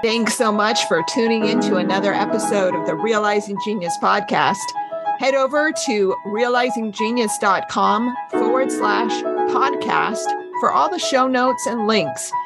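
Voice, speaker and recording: A woman's voice: medium pitch, a little slow, loud music behind the voice. A middle-aged woman hosting an interview podcast in a conversational, appreciative and encouraging way. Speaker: podcast host.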